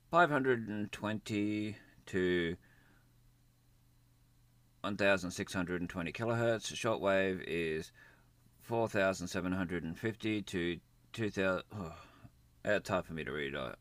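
A man's voice: low voice, fairly bright tone, no room sound. A man giving a casual, unscripted hands-on gadget demonstration in a plain-spoken way. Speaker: gadget reviewer. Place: indoors at a desk, near a computer.

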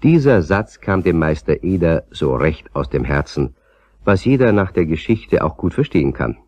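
A man's voice: low voice, sing-song, moderate pace, a little mellow. A man narrating a scripted children's story as a storyteller. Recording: slightly dull, roomy old cassette recording.

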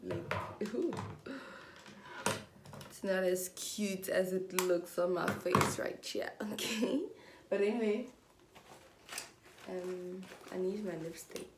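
A woman's voice: lowish voice, very noisy. A young woman from South Africa chatting casually to camera in a small indoor room, showing what she packs in her bag. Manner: chatty, slow and relaxed, mixes in Setswana phrases. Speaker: vlogger. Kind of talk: casual vlog chat.

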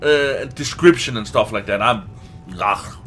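A man who is not a native English speaker gives casual gameplay commentary, haltingly searching for words and swearing now and then. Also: mid-range voice, fairly bright tone, soft background music. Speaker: let's play host.

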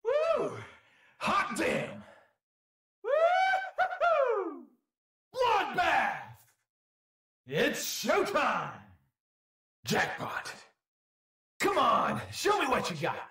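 An actor playing a cocky, playful middle-aged demon hunter tossing out exclamatory taunts in a video game. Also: male voice, very high voice, huge pitch swings, extremely slow, long pauses, sharp tone, full character voice. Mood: exhilarated. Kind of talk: game combat taunts and announcer barks. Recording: roomy, distant in-game capture.

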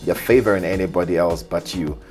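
A man speaks in a very low voice as an interview guest. He tells personal stories expressively, acting out the exchanges. Music plays faintly behind him. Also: fairly quick. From Ghana, long life in Kenya. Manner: expressive, acts out quoted exchanges, laughs while talking. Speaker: podcast guest, cyber security specialist. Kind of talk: animated personal storytelling in an interview.

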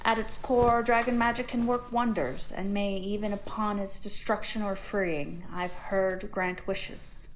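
A woman acts a loving, weary mother in a fantasy audio drama. She speaks very slowly at a middle pitch, gentle and thoughtful like a storyteller. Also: fairly even intonation, a little hiss or hum, natural voice, rough recording. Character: tired, loving mother telling dragon lore.

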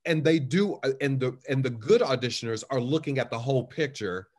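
An American man of about sixty hosting a casual interview over a video call, framing his questions in a halting, repetitive way. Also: mid-range voice, lively intonation, a little mellow. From West Texas, United States. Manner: halting, repeating words as he frames questions. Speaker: interview host. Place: living room at home, on a video call.

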